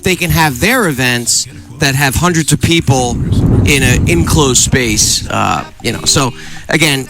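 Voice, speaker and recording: A man co-hosting a fishing radio show, talking in a rambling, halting way. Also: mid-range voice, lively intonation, creaky, music in the background. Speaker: radio co-host and kayak tournament organizer. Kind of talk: casual radio chat.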